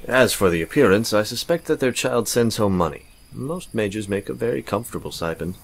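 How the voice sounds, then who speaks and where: Casting: male actor as grim, absent-minded necromancer, very low voice, curt delivery, visual novel game. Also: lively intonation, brisk, vocal fry. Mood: distracted, grave. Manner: curt, preoccupied.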